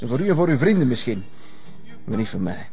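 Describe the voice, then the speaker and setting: An actor playing a sardonic, menacing avenger with a husky Flemish voice and a mocking, taunting manner. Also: male voice, medium pitch, very animated, brisk, a little mellow, soft background music. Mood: bitter, accusing. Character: menacing, sardonic male avenger holding a gunman's upper hand. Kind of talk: Flemish television crime drama acting.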